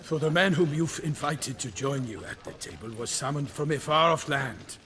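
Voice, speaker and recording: An actor playing a proud, earnest knight errant in a video game, speaking in a formal, flowery, theatrical way. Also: male voice, fairly high pitch, expressive, moderate pace, background noise, close to an everyday voice. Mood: courtly, earnest. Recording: music behind.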